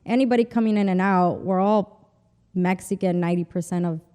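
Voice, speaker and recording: A woman's voice: fairly low pitch, a little fast, warm tone, clean tone, in a large hall. A young woman from a Texas border town, whose first language is Spanish, chatting casually as an interview guest with lots of "like" and "you know".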